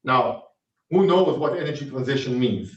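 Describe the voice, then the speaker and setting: A man with an Israeli accent, an executive in an investor interview, speaking haltingly with many fillers. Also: medium pitch, lively intonation. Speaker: company executive. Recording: distant roomy muffled call audio.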